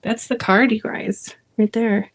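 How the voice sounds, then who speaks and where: A woman's voice: fairly high pitch, fairly even intonation, measured pace. Upbeat woman with a Minnesota accent. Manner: upbeat, enthusiastic, giggly. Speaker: co-host, former phonics teacher. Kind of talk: casual livestream craft chat.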